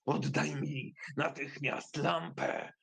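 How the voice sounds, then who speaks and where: A man voicing a furious, menacing sorcerer uncle in a storybook audio drama, shouting threats and hissing spells. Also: on the high side, steady tone, slow, vocal fry, breathy edge, pitched above the performer's own voice, heavily acted. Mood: enraged.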